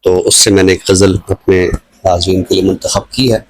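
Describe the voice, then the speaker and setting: A middle-aged man with a Pakistani accent, giving reflective talk show commentary in a measured, explanatory way like a teacher. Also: deep voice, flat delivery, poor audio.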